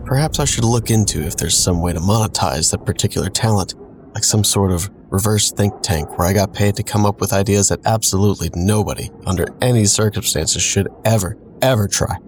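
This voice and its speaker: Man as a low-voiced, wry, sardonic narrator. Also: brisk, soft background music, lightly acted. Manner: sardonic, digressive. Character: wry, self-deprecating man narrating his reckless youth. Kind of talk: scripted first-person audio drama narration.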